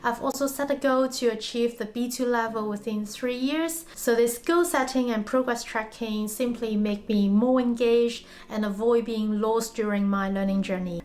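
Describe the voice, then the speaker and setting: A woman whose first language is Cantonese, earnestly chatting through a vlog about her own learning. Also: on the high side. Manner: earnest, chatty, self-referencing. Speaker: vlogger. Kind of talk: casual explanatory vlog.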